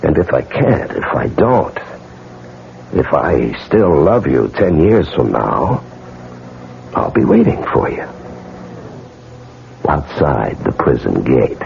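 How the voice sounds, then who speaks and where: An actor playing a bitter, vengeful husband in a 1970s radio drama, sardonic and brooding. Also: male voice, extremely low pitch, big pitch swings, takes it slowly, vocal fry, pauses often, exaggerated voice. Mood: coldly bitter. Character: betrayed husband, bitter, coldly vengeful man. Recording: muffled vintage radio broadcast.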